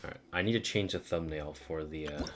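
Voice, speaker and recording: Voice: extremely low pitch, a little fast. A game streamer chatting casually on a livestream, sometimes gushing and sometimes murmuring to themself while working. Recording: music playing behind the voice.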